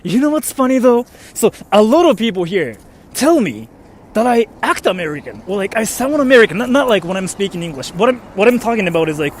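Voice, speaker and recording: A young man from Japan, a native Japanese speaker, chatting to his livestream viewers. His voice sits high and swings widely in pitch. He rambles along with fillers and an emphatic "freaking" in a loose, casual stream of talk. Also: sing-song, brisk, slight background noise. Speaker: streamer reading chat comments.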